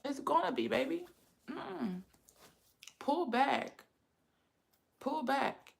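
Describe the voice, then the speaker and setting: A chatty woman talks straight to her livestream viewers. Her fairly high voice carries a gravelly fry and a slight lisp. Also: expressive, drawn-out words. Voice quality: says she has a lisp. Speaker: livestream host. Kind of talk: casual livestream chat with viewers.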